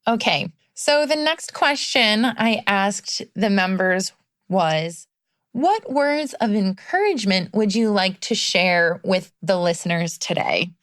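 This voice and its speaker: A woman's voice: mid-range voice, lively intonation, takes it slowly, a bit bright, no room sound, studio quality. A woman hosting a podcast as a recovery coach, talking in an earnest, encouraging way. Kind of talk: scripted podcast monologue.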